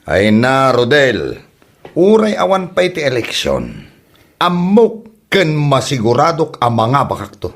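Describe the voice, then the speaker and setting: A man acting a boastful, husky, animated schemer. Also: medium pitch, takes it slowly, heavily acted. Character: boastful, cash-strapped man campaigning for village board member. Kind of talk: Ilocano comedy drama acting.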